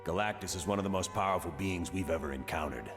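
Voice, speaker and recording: An actor playing a gruff, commanding colonel who briefs a team of heroes in a blunt, authoritative way in a video game. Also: male voice, low-pitched, quick pace, long reverb tail, over music, lightly acted. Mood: stern briefing. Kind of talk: video game cutscene voice acting.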